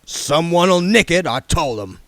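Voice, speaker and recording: Man voicing a blunt rich businessman, gruff and shrewd, raised loud voice, dry sound. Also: on the high side, lively intonation, measured pace, no echo, pitched above the performer's own voice. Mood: theatrical. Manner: blunt, menacing, folksy. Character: gruff, shrewd, wealthy older male businessman.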